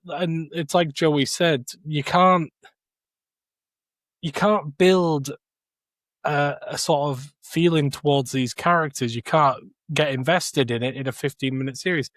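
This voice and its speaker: A man's voice: medium pitch, no echo. A man chatting casually as a podcast panellist, giving blunt opinions and swearing freely.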